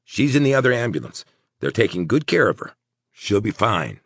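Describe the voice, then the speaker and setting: A man's voice: on the low side, big pitch swings, normal speed, a bit bright, breathy edge, dry recording, studio quality, pitched above the performer's own voice, exaggerated voice. A man voicing an anxious, officious small-town mayor in an audiobook, speaking urgently and emphatically. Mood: reassuring.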